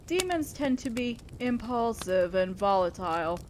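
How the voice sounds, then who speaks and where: Woman acting a high-voiced, imperious demon lady boss. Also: big pitch swings, a little mellow, a little hiss or hum. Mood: pleased, insistent. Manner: commanding, formal, measured, condescending yet concerned. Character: imperious, old-fashioned female demon lord, secretly caring boss. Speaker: audio roleplay performer. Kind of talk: scripted roleplay monologue addressed to the listener.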